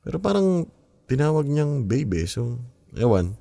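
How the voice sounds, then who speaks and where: A man's voice: medium pitch, very animated, a little slow, vocal fry, dark tone, dry recording. An actor playing a curious, pushy young man in a radio drama, with an inquisitive, pressing way of talking. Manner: inquisitive, pressing, casual Taglish.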